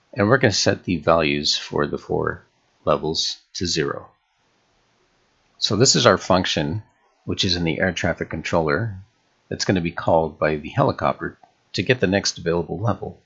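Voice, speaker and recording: A man narrating an instructional screencast as a tutorial host, in a measured, explanatory way. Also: deep voice, creaky, a bit bright, husky, lo-fi sound. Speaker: game development tutorial host.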